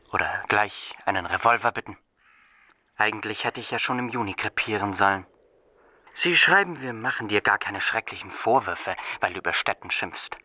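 A man's voice: lowish voice, bright, forceful tone. An actor playing a bitter, sardonic son declaiming a letter to his father in a radio drama. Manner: biting, sarcastic, declamatory.

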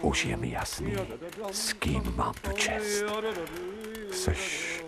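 An actor playing a streetwise stray dog in a radio play, with coarse slangy talk and a cheeky, adoring temper. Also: male voice, a little high, huge pitch swings, over loud music. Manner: coarse colloquial slang, gloating asides. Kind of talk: radio play inner monologue.

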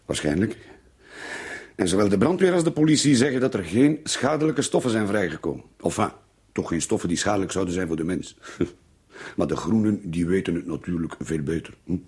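A disgruntled Flemish father in middle age in a radio play. His voice is low and very rough, and he grumbles with dismissive sarcasm. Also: expressive, brisk, darkish tone, full character voice. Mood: sarcastic. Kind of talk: naturalistic radio drama acting.

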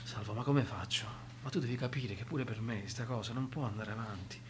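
A man's voice: fairly low pitch, a little fast, close to an everyday voice. A man acting an evasive, troubled young Sicilian doctor and affectionate son in naturalistic radio drama, speaking hesitantly. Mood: worn, reluctant. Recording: faint hum and noise.

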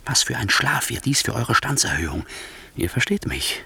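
A man's voice: mid-range voice, very animated, moderate pace, vocal fry, pushed and bright, husky, heavily acted. An actor playing a scheming, ambitious nobleman in a German radio verse drama, speaking in a theatrical, insinuating, rhetorical manner. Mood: mocking, insinuating. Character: scheming ambitious nobleman, smooth-tongued, ruthless tempter.

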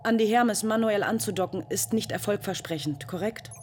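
A woman's voice: medium pitch, normal speed, darkish tone, a little hiss or hum, natural voice. An actress playing a lone female astronaut who whispers to herself as she pulls herself together. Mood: determined.

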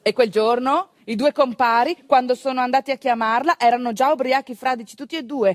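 Female stage storyteller, northeastern Italian accent, wry and expressive, higher-pitched, recorded dry. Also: no room sound. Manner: conversational, wry, expressive, with dialect words. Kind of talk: theatrical monologue storytelling.

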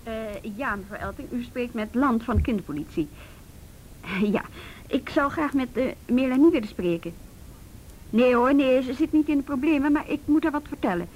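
A woman's voice: normal speed, darkish tone, lightly acted. An actress playing a conscientious, plain-spoken and slightly wry female juvenile police officer in a radio drama. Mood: polite, reassuring. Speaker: actor in a radio play. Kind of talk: Dutch radio drama acting. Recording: noisy radio play recording with rumble.

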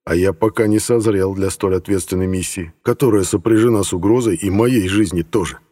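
A man's voice: low voice, fairly quick, dark tone, long reverb tail. A man reading first-person fiction as a scripted audiobook narration.

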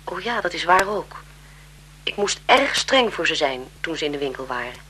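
An actress playing a prim, earnest governess in a 1970s Dutch radio play, speaking respectfully but emphatically. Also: female voice, low-pitched, sing-song, moderate pace, bright, forceful tone, exaggerated voice. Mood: earnest, reproving. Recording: 1970s radio drama with faint noise.

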